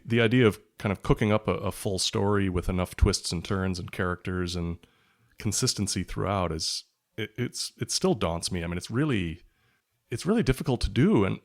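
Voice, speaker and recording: Interview guest: man, low register, reflective conversational delivery. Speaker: guest, author.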